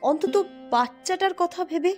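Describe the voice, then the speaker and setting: A woman's voice: very high-pitched, normal speed, over music. A woman voicing a worried, middle-aged farmer's wife in a cartoon story, urging her husband anxiously. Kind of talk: Bengali cartoon story acting.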